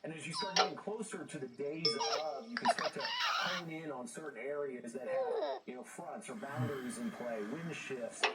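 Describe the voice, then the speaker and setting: A man's voice: a little high, huge pitch swings, normal speed, very noisy. A middle-aged man giving conversational interview answers as an expert guest. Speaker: storm chaser and meteorologist guest. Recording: distant voice under loud music. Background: loud music with other voices mixed in.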